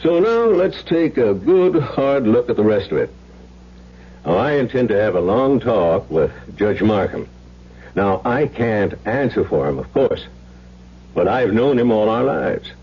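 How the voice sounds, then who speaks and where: An actor playing a measured, folksy small-town sheriff and old family friend in a 1970s radio drama. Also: male voice, fairly low pitch, big pitch swings, slightly rough, exaggerated voice. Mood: measured, reasoning. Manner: folksy, deliberate, reasoning aloud. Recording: dull lo-fi old radio broadcast.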